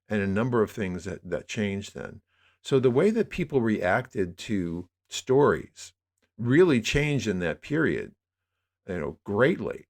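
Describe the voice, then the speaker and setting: An older American man talking reflectively as an interview guest, in a halting, rambling way that circles back on his phrases. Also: low voice. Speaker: interview guest, media producer.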